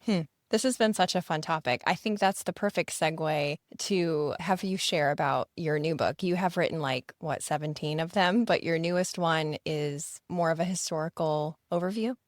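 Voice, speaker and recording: A woman with a Midwestern accent hosting a podcast interview, asking measured, careful questions. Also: low voice, no echo, clean studio sound. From American Midwest. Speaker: podcast host.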